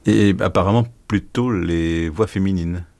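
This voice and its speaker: A man's voice: low-pitched, unhurried, vocal fry, darkish tone. A man hosting a radio interview, introducing a recording and putting probing questions to his guest, often cutting in. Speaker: radio host.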